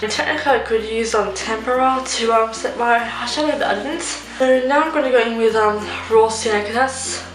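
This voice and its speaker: A young woman, a little nasal from a cold, chatting casually through a makeup tutorial with lots of ums. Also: a little high. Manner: chatty, rambling, many ums. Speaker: beauty vlogger. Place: room at home. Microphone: phone camera. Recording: roomy home recording with music. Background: soft background music.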